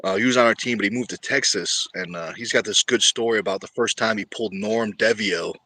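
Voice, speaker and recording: Bright-voiced man chatting on a livestream. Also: fairly low pitch, fairly quick. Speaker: livestream host. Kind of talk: casual livestream chat about arm wrestling.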